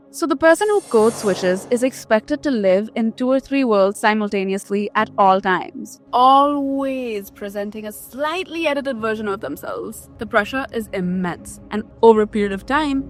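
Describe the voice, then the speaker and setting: A woman raised in Canada, from an Indian immigrant background, narrating a scripted explainer vlog as its host. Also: medium pitch. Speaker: video host. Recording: voice over background music. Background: music.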